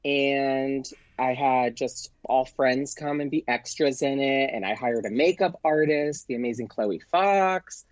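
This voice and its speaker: A man speaks as a podcast guest in a casual, chatty storytelling style, animated and quick to act out dialogue. His voice sits at a medium pitch. The recording is dry and a little muffled. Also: dry recording. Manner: animated, acts out quoted exchanges. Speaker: podcast guest, performer and producer.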